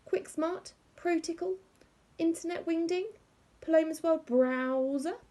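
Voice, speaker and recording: A woman's voice: very high-pitched, a little slow, warm tone, pitched above the performer's own voice. A woman voicing an eager, smug seven-year-old girl, a know-it-all little sister, in a children's storybook reading.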